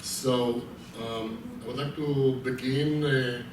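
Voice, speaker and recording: Man, Israeli accent, halting lecture, distant, echoey room, noisy. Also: medium pitch, steady tone. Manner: halting, with frequent fillers and restarts. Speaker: visiting lecturer. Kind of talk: academic lecture. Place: lecture hall. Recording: echoey hall from far off, noisy.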